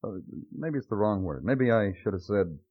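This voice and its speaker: An American actor playing a weary New York police detective who questions people tersely in a hard-boiled radio crime drama. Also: male voice, lowish voice, lively intonation, moderate pace. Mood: quietly probing. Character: Danny Clover, weary New York police detective, middle-aged man. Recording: tinny band-limited vintage radio recording, muffled.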